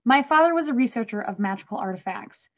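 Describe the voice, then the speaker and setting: A young woman playing a worried, pleading young woman in need of help, in an animated fantasy table read. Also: fairly high pitch, fast talker, dull audio, close to an everyday voice.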